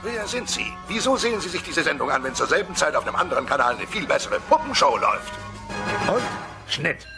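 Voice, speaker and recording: A man's voice: on the high side, big pitch swings, a little fast, vocal fry, over music. A man playing a pompous dinosaur TV host who narrates with melodramatic, sardonic flair in a comedy audio play.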